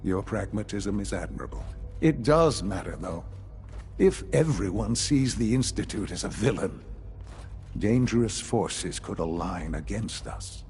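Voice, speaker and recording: An actor playing a calm, calculating older institute leader in a video game, speaking formally and courteously. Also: male voice, lowish voice, a little slow, faint music behind. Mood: calm, approving.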